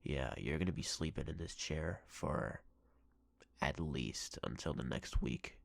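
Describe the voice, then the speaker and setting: A menacing, obsessive ex-boyfriend acted by a man for an ASMR roleplay. His voice is extremely low, thick with fry and breathy, in a very dry, close recording. He speaks with possessive, threatening deliberation. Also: unhurried, no echo, exaggerated voice. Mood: scornful, threatening. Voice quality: gravelly, husky, breathy. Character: menacing obsessive yandere ex-boyfriend, a jealous captor.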